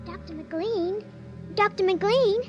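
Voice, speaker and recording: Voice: very high voice, slow pace, lo-fi sound, soft background music. A performer playing a pleading, petulant young child in a 1950s radio drama. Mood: calm, sweet.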